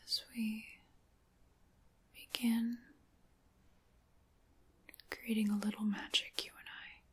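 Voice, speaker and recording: Breathy, slow-whispering dark witch, played by a woman. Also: on the high side, little pitch movement, full character voice. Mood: hushed, savoring. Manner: slow, deliberate, hushed, theatrical. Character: sinister, seductive dark witch, fond of chaos. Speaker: ASMR performer. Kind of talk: ASMR whispering and soft-spoken roleplay. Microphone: close microphone.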